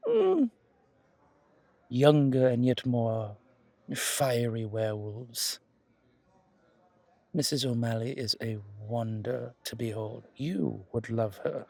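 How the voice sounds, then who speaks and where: A man playing an effusive, flattering, flirtatious museum curator in a roleplay audio drama, genteel and gushing, with hesitant pauses and repeated words, in a husky, creaky voice. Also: medium pitch, no echo, heavily acted. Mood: gushing, eager.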